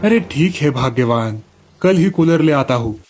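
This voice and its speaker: A man's voice: on the high side, animated, moderate pace, a little mellow, soft background music, lightly acted. A man voicing a genial, indulgent village sweet-seller and father in a children's story cartoon. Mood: brisk.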